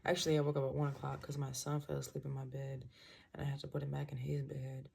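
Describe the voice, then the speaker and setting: Gravelly low-voiced woman rambling through a reading. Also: monotone, quick pace, heavy background noise. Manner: rambling, trailing off, self-interrupting. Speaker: tarot reader. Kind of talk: casual tarot reading chat. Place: small home room at night, birds outside. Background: birds chirping.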